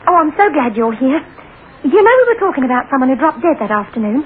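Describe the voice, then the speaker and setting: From a tinny old radio play, a young English sweetheart with a high, very expressive voice. She is anxious, loyal and earnest, with a pleading way of speaking. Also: fast talker, a little hiss or hum. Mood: earnest, uneasy. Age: young adult. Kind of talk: old-time radio drama acting.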